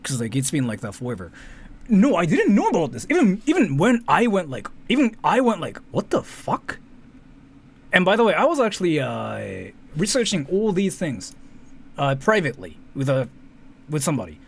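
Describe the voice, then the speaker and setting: A man's voice: on the high side, huge pitch swings, moderate pace, vocal fry, breathy edge. A man giving casual, rambling, sweary reaction commentary, often repeating himself. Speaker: commentary streamer. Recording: faint background noise.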